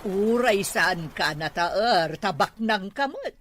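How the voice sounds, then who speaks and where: A woman's voice: mid-range voice, animated, takes it slowly, fairly bright tone, slight background noise. A woman playing a nagging older mother who presses her son, in an audio drama. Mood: nagging, insistent. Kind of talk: Ilocano audio drama acting.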